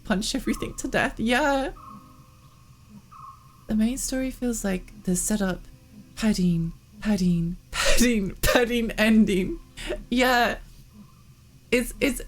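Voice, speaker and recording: A woman livestreaming a video game, chatting casually and expressively, her voice worn and prone to cracking. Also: medium pitch. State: tired, cracking voice from losing it. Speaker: live game streamer. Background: game music.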